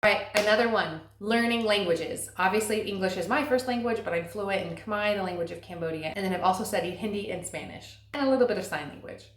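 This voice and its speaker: A woman's voice: medium pitch, a little hiss or hum. Earnest woman in an echoey room. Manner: earnest and encouraging, slows to stress key words. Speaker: vlogger and stay-at-home mom. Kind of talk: scripted advice talk to camera.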